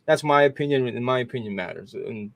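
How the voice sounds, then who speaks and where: A man's voice: mid-range voice, lively intonation, brisk, creaky, no room sound. A young adult man hosting a casual gaming podcast, rambling and profane, stressing his points. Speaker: podcast host.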